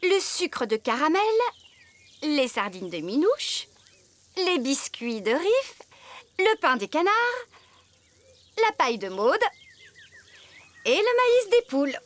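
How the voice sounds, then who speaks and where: A woman's voice: sits high, very animated, slow, pauses often, heavily acted. A woman playing a kind, cheerful young farmer in a children's cartoon, with a caring, reassuring manner.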